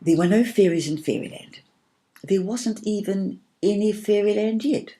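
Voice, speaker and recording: A woman's voice: mid-range voice, normal speed, warm tone, voice pushed up, close to an everyday voice. A woman voicing a firm, imaginative girl who tells a children's story patiently and dramatically. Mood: storytelling.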